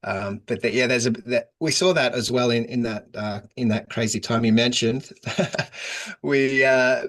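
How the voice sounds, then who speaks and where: Relaxed Australian man on a call. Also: mid-range voice, measured pace. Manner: relaxed, chatty, laughs often. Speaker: guest, digital agency founder. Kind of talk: casual remote interview. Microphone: remote call.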